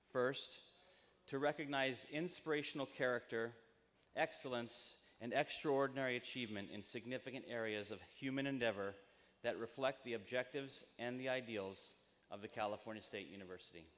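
A middle-aged American man giving a formal ceremonial speech in measured, emphatic phrases at a podium microphone. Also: medium pitch, a bit bright. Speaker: university trustee speaking at commencement. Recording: long reverberant PA in large tent.

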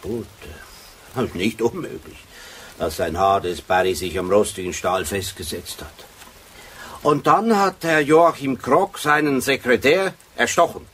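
An actor playing a shrewd, grumbling old police sergeant in a radio drama, gruff and wry. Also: male voice, medium pitch, unhurried, a bit bright, lightly acted. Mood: wry, probing. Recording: faintly noisy radio drama recording.

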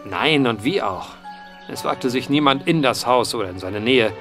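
An earnest, eloquent gentleman investigator played by a male actor tells of strange matters in a radio mystery. His voice sits at a middle pitch and his phrasing is formal and measured in wording. Soft music plays behind him. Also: lively intonation, lightly acted. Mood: grave storytelling. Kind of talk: period mystery radio play acting.

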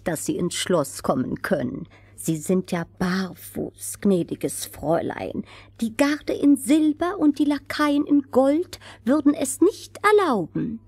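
A woman playing a polite tame female crow with formal, deliberate courtesy in a fairy-tale audio drama. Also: high voice, dry recording, exaggerated voice.

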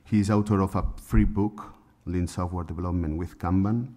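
A middle-aged man moderating a conference panel, introducing speakers in halting phrasing with fillers, into a table microphone. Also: low-pitched, steady tone, dark tone. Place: conference room on a panel stage.

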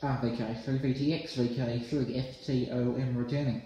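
A man's voice: medium pitch, level tone, fairly quick, warm tone, lo-fi sound. A young Australian man chatting casually as an amateur radio operator, using radio jargon. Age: young adult. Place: small indoor room. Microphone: phone at arm's length. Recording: roomy echo, slight hiss.

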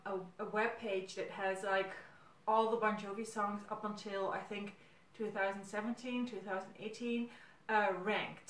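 A middle-aged woman, a native Dutch speaker, chats casually to camera as host of her own series. She feels her way through thoughts with frequent hesitation, in a mid-pitched voice. The sound is roomy, picked up from a few feet away. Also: fairly bright tone. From Netherlands. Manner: hesitant, thinking aloud. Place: small room at home, indoors. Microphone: camera several feet away. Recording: roomy, distant camera microphone.

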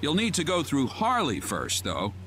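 A man's voice: a little high, wildly swooping pitch, normal speed, noisy background. A man voicing a laid-back, wry sea captain who gives advice in a video game. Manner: casual, wry and talkative. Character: laid-back middle-aged male ship captain. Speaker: ship captain.

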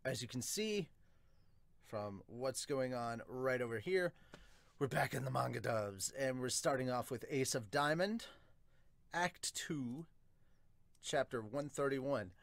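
A man hosts a casual manga review in an informal, exclamatory way. His voice sits at a middle pitch and he often breaks off into asides. The sound is dry, recorded at a desk in a room at home. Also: animated, slow pace, vocal fry, pauses often, no echo. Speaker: manga reviewer and podcast host. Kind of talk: casual reaction commentary with improvised manga dubbing. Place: small furnished room at home.

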